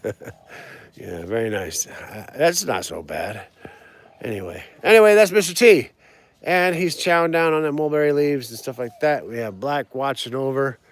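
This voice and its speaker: A man chats loosely to a live audience from outside, circling back and repeating himself as he goes. His voice sits in an ordinary middle pitch. He laughs easily between thoughts. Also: expressive, normal speed. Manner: rambling, repeats words, laughs often. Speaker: live-stream host. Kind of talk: casual outdoor live-stream chat. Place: outdoors.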